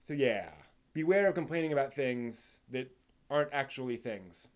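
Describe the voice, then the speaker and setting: A man's voice: mid-range voice, expressive, moderate pace. A man hosting an informal live stream, talking in a casual, rambling way and at times reading aloud. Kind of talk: casual live stream talk about anime with theatrical read-aloud segments.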